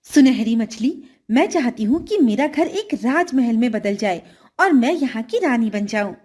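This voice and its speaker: Woman as a scheming storybook stepmother, greedy, coaxing, eager, calculating, animated delivery. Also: on the high side, normal speed, husky. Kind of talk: children's storybook dialogue.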